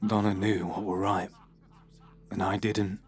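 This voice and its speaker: An actor playing a troubled, unwell man who confesses to the police in a halting, hushed voice with nervous laughs. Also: low voice, little pitch movement. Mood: haunted. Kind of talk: audio horror drama.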